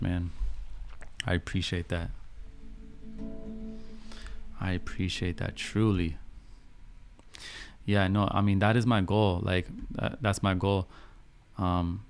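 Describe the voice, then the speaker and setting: A man in his thirties with a low voice and a gravelly, creaky edge. He talks casually as a live stream host, affirming his points by repeating them and checking that people follow. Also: little pitch movement, a little slow. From Canada, grew up in Vancouver. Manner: affirming, repeats phrases, punctuates with "you understand?". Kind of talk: casual live stream chat answering viewer messages.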